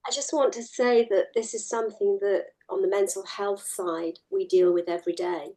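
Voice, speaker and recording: A woman's voice: medium pitch, steady tone, normal speed, mellow, poor audio. A woman who works in mental health, explaining her field steadily during a group discussion.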